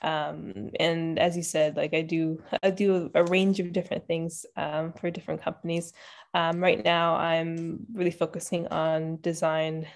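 A woman from Ontario, a podcast guest chatting casually and relaxed, often pausing on "um". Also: sits low. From Ontario, Canada. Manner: relaxed, many "um" fillers, thinking aloud. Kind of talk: casual interview chat.

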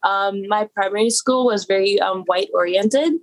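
Young woman guest from Toronto, mid-pitch, relaxed easygoing storyteller. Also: normal speed. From Toronto, Canada. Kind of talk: casual interview chat.

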